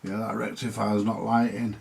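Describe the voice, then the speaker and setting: A man's voice: fairly low pitch, little pitch movement, normal speed, gravelly. A man with a British accent giving casual, hands-on repair commentary, thinking aloud in short, plain-spoken remarks as he works. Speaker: vintage radio repairer. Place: indoors at a repair workbench. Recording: noticeable background noise with clicks.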